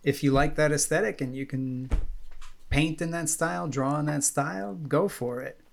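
Gravelly voice, easygoing co-host. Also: mid-range voice, moderate pace, slight background noise. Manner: agreeable, many backchannels. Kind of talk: casual chat.

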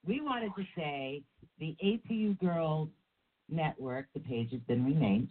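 A woman co-hosting a talk show, a bit sick with a rough, raspy voice, chatty and rambling with many restarts. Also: deep voice, animated, measured pace, a little hiss or hum. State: under the weather, rough voice. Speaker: co-host of a paranormal talk show. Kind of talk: casual chat. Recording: phone-like narrow sound.

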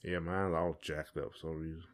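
A man's voice: extremely low pitch, level tone, brisk. A man co-hosting a podcast in casual chat, rambling and repeating phrases as he thinks aloud. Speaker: podcast co-host.

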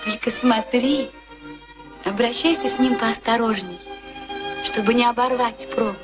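High-voiced flippant young sweetheart, played by a woman. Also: fairly even intonation, measured pace, close to an everyday voice. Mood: teasing. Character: flippant, teasing girlfriend of a boxer. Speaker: actor. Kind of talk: Soviet radio play acting. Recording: archival radio drama, music behind.

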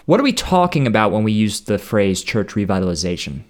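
Low-pitched man hosting an interview. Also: sing-song, brisk. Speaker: podcast host. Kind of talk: conversational interview.

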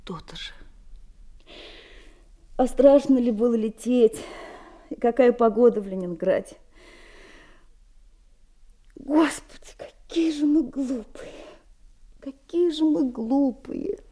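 Mellow, devoted woman in love. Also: fairly high pitch, lively intonation, slow, pauses often. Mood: tender, giddy. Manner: earnest, intimate, insistent. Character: devoted, tender yet bossy woman in love. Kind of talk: intimate radio play acting.

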